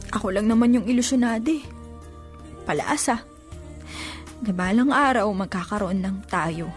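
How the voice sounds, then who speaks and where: A woman's voice: medium pitch, normal speed, faint music behind, natural voice. An actress playing a lovesick, insecure young woman in an emotional, pleading Filipino radio drama style. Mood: hurt, resigned.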